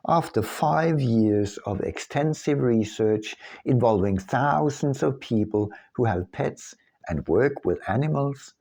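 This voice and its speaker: A man reading his own non-fiction book aloud as scripted narration, in a measured, deliberate manner with pauses. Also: mid-range voice, creaky, warm tone.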